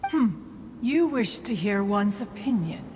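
A proud, ancient female crane immortal from a video game, performed by a woman. She speaks in stately, archaic phrases as if passing judgment, on the lower side in pitch. Long reverb surrounds the voice. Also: expressive, a little mellow, lightly acted. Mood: aloof, appraising. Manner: haughty, formal, archaic, measured speech. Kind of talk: scripted video game voice acting. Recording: long reverb with faint background noise.

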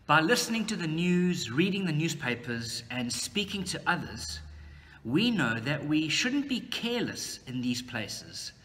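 A man's voice: fairly high pitch, very animated, a little slow, sharp tone. A middle-aged man preaches a sermon to camera as a pastor, indoors. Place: indoor room. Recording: long reverberant room echo.

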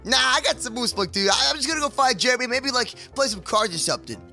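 Man voicing a very high, whiny baby moose boy. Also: animated, fast, gravelly, bright, forceful tone, soft background music, full character voice. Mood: cocky. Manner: stammering, peppering speech with slangy address. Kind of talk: kids' gaming roleplay cartoon.

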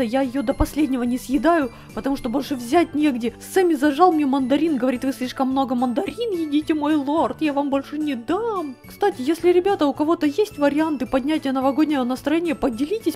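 A woman hosting a fan channel in a playful, chatty way, teasing and switching voices quickly. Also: sits high, a little mellow. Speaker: channel host.

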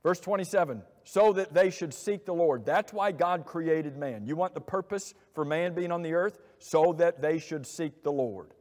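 A middle-aged man preaching a sermon from a church pulpit, animated and asking many rhetorical questions. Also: on the high side, normal speed, hardly any pauses. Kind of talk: expository sermon. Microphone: lapel mic. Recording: long hall reverb.

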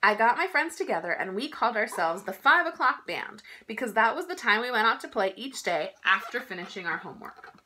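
A woman in her thirties reading a children's picture book aloud in an expressive, emphatic storytelling style. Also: fairly high pitch, fairly quick, few pauses, low-quality recording. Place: small indoor room at home.

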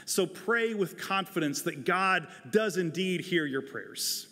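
A man in his forties delivering a prepared sermon as a pastor, earnest and deliberate, speaking into a lapel mic in a large church. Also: sits high, animated, sharp tone. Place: large church sanctuary, indoors, at a pulpit. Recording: long hall reverb of a large sanctuary.

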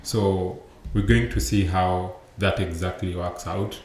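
Young man, low voice, remote conference talk, many ums and restarts, laptop mic, roomy sound. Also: fairly even intonation, unhurried. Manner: explanatory, loose, many fillers and restarts. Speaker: conference speaker. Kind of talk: remote tech conference talk over slides. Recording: roomy echo with faint background noise.

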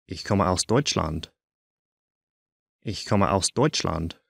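A man teaching a language course, saying each short phrase twice in slow, careful drills. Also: deep voice, gravelly, a bit bright, slightly rough, no room sound, studio quality.

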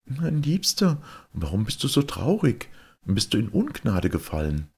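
A man voicing a calm, reassuring young wife with magic powers in a fairy tale, soothing and coaxing. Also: medium pitch, measured pace, husky, pitched above the performer's own voice, exaggerated voice. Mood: gentle, reassuring.

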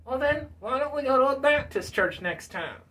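A grumpy, teasing male puppet, put on by a man in a comic dialogue. The voice is whiny and bright, pushed higher than everyday talk. It needles and complains with lively swings of pitch. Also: normal speed, far from the mic. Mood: indignant. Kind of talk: ventriloquist-style puppet dialogue.

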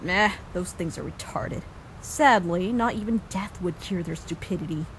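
A woman voicing a brash, mocking young punk adventurer in an animated comic dub, with a cocky, sarcastic delivery. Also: fairly low pitch, normal speed, slight background noise, natural voice, low-quality recording. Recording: music behind the voice.

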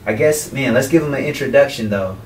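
A man's voice: mid-range voice, fairly quick, a little mellow. A man hosting a casual radio interview, easygoing and encouraging. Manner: easygoing, encouraging with short affirmations. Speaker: radio host. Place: radio studio. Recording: roomy, distant camera audio with faint noise.

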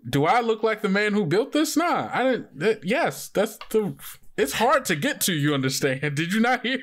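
A man's voice: high-pitched, very animated, moderate pace, voice pushed up. A man voicing a defensive, rambling male character giving directions in a tabletop game, with colloquial speech. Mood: exasperated, defensive.

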